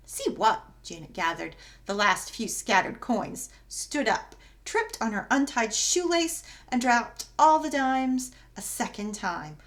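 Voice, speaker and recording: A woman reading a children's story aloud as narrator, in an expressive, dramatic and emphatic way. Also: fairly high pitch, a little slow, hardly any pauses. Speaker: story reader.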